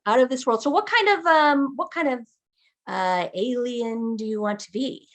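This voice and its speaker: A woman's voice: on the high side, moderate pace. A woman acting as game master, patiently walking a player through character creation and often checking that they follow. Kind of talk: explaining game rules.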